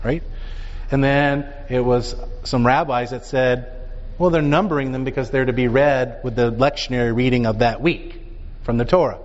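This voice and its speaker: A man's voice: mid-range voice, normal speed. Man lecturing in a reverberant hall. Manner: animated, rhetorical questions, frequent "right?". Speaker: Bible teacher. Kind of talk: lecture with audience questions. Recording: dull sound with long reverb in a hall.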